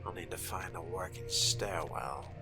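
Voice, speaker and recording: A man voicing a haughty fledgling vampire in a condescending, theatrical game roleplay monologue, speaking deliberately and often dropping to a whisper. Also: sits low, steady tone. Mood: dry irritation. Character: haughty fledgling male vampire exploring a haunted hotel. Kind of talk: in-character roleplay monologue over a video game. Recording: music under the voice.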